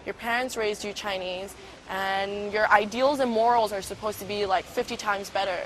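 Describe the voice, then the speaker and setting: A woman's voice: medium pitch, fairly even intonation, moderate pace, fairly bright tone. A woman of twenty-one from Brooklyn, New York, speaking casually in an interview and acting out the exchanges she describes. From Brooklyn, New York City. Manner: chatty, acts out quoted exchanges, says "like" often. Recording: noisy background.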